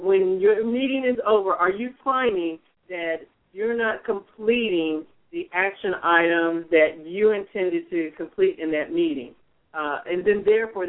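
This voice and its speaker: A man hosting a radio advice show, coaching in a strong, projecting voice with frequent restarts. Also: sits high. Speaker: radio show host, mentor. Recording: phone-like narrow band.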